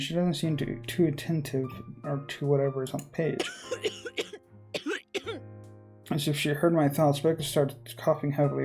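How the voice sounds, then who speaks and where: A person reading visual novel narration aloud, breaking off for informal, halting commentary. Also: medium pitch, vocal fry, warm tone.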